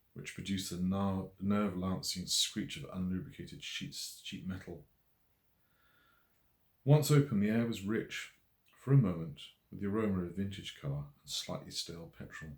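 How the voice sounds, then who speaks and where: A man reading a novel aloud as its author, in measured, expressive literary narration. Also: deep voice. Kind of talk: scripted audiobook narration. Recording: roomy echo.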